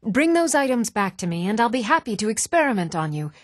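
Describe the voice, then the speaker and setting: An actress playing an earnest, even-toned woman in a fantasy video game. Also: a little high, brisk, no room sound, clean studio sound. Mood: scatterbrained, eager. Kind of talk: video game character dialogue.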